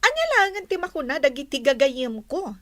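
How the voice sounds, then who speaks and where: A woman's voice: high-pitched, very animated, fairly quick, a bit bright, dry recording. A woman with an Ilocano accent playing a coaxing, secretive teenage daughter in an audio drama. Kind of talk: Filipino audio drama acting.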